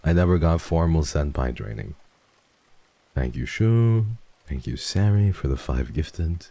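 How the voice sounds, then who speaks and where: Man, very low voice, lively pitch swings, dry close sound, swearing streamer chatting with chat. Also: unhurried, no echo. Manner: swears freely, jumps between mumbling and excited high yelps. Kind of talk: casual chat with viewers.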